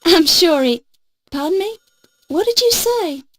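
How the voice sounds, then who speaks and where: Earnest young novice reporter for adventure game: very high voice, unhurried, determined, music underneath. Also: female voice, animated, a bit bright, no room sound, exaggerated voice. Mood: polite, unsure. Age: young adult. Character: eager, polite, plucky young woman, a novice reporter. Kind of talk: scripted adventure game acting.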